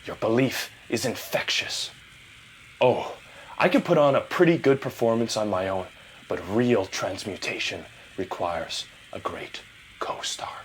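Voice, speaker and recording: A man's voice: fairly low pitch, measured pace, a little hiss or hum, lightly acted, low-quality recording. An actor playing a smug, delusional male movie star in an audio drama, theatrical and seductive, slipping between whispers and bursts. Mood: grandiose, intense.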